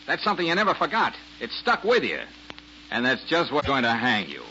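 An actor playing an easygoing, sharp male detective in a 1940s-style radio drama, with a halting, folksy delivery. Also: mid-range voice, wildly swooping pitch. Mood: explaining. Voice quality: halting, folksy, full of uhs. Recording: dull lo-fi old radio broadcast with faint hiss.

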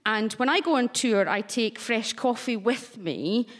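A woman's voice: a little high, expressive, a little slow. A woman comedian on a radio panel show, telling a wry, self-mocking story.

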